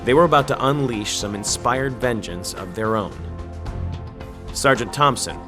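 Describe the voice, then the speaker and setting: An actor voicing a male war correspondent in a military video game. He has a medium pitch and a wry, serious way of telling his story. Music plays clearly behind him. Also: lively intonation, unhurried, natural voice. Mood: grave, reflective. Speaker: embedded war reporter and narrator. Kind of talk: dramatic game cutscene narration and dialogue.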